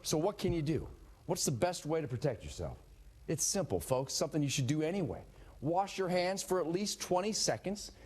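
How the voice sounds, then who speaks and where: A man's voice: fairly high pitch, sing-song, a little fast, a little mellow, breathy edge. A man anchoring a TV newscast in an emphatic, plain-spoken way. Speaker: news anchor. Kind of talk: broadcast news presentation.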